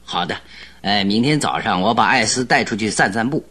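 An actor playing a shrewd, secretive male detective in a radio drama, with a husky, unhurried, coaxing delivery. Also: on the low side, a bit bright. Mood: friendly, coaxing. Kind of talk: Mandarin radio drama acting.

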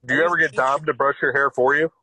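A man's voice: mid-range voice, fairly even intonation, fast talker, sharp tone, low-quality recording. A man in his thirties bantering and rambling jokingly on a remote call. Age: 30s. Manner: joking, rambling, playfully boastful. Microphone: remote call, signal cutting out.

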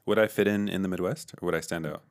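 A man's voice: low voice, steady tone, quick pace, vocal fry, smooth. A young man co-hosting a podcast in casual chat, dry and joking, often laughing. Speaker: podcast co-host.

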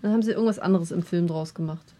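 A woman's voice: fairly low pitch, rapid-fire, dark tone. Woman chatting, kinda hesitant, lots of äh between thoughts. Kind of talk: casual chat.

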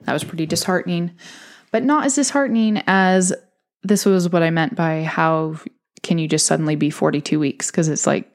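A woman's voice: low-pitched, moderate pace. A young woman telling her own story in a candid, chatty way, laughing often and mimicking voices when she quotes. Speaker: podcast host telling her birth story. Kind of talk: casual personal storytelling.

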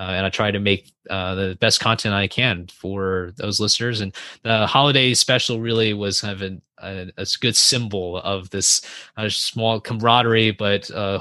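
A young man guesting on a podcast, speaking in a somewhat low, bright voice. He talks in a halting, friendly way, restarting phrases and laughing softly. The sound is dry and close, with no room echo. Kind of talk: casual interview chat.

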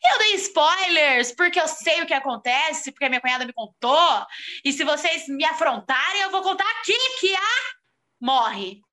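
A woman with a Brazilian accent chatting casually, telling her side in an animated, mock-indignant way. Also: sits high, moderate pace. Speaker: actress on the show. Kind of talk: casual chat.

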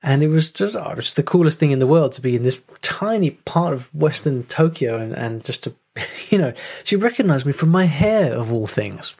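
A man's voice: fairly high pitch, animated, moderate pace, vocal fry, few pauses, darkish tone, husky. A man hosting a solo podcast, talking casually with many fillers, restarts and small laughs. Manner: chatty, self-interrupting with fillers and laughs. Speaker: podcast host.

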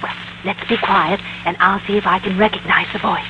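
An actress playing a genteel, easily indignant middle-aged wife in a theatrical 1950s radio sitcom style. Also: female voice, medium pitch, fairly even intonation, a little fast, lightly acted. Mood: genteel, righteous. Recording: noisy old-time radio recording.

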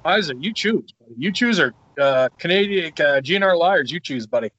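A man hosts a podcast, teasing his guests in a mocking, profane way. His voice sits a little high and sounds husky. The audio is dull and lo-fi. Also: lively intonation, normal speed, fairly bright tone, no echo. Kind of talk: casual crude banter.

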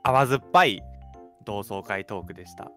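A man's voice: lowish voice, normal speed, a bit bright. A young man telling anecdotes in casual radio chat, self-deprecating and acting out quotes. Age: young adult. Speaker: scriptwriter on a radio show. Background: background music.

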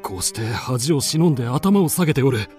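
An actor playing a dignified, lovestruck duke in a radio drama, speaking in a lordly, formal, theatrical and earnest way. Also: male voice, medium pitch, lively intonation, a little fast, a little mellow, soft background music, close to an everyday voice. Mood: imploring.

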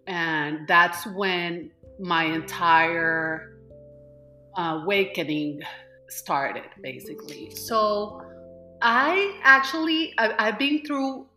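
A woman guest on a podcast speaks slowly, in a bright voice with a low-ish pitch. She often stops and restarts her sentences. The room around her sounds echoey, with faint music underneath. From Colombia. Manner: halting, with many restarts and fillers. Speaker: guest, manifestation coach. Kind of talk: casual interview talk.

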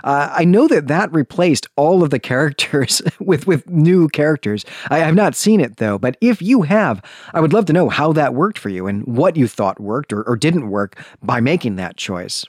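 A man's voice: fairly high pitch, expressive, normal speed, breathy edge, no echo, clean studio sound. A man hosting a podcast, giving a review in an enthusiastic, emphatic and conversational way. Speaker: podcast host. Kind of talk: book review podcast monologue.

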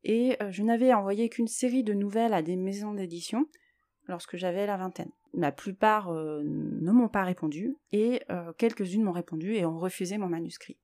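A woman's voice: mid-range voice, normal speed, mellow. A woman hosting a solo podcast, talking reflectively and hesitantly as she thinks aloud. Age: 30s or older. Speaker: podcast host.

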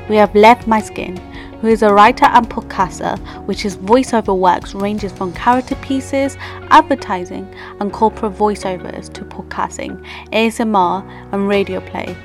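A woman hosting a podcast, introducing the show and guests and asking questions. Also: medium pitch. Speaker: podcast host.

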